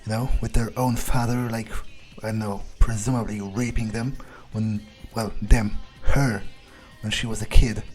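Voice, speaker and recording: A man doing an in-character game playthrough, thinking aloud in a gruff, blunt, halting way with plenty of swearing. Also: lowish voice, steady tone, a little mellow, faint music behind, rough recording. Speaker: gamer playing in character.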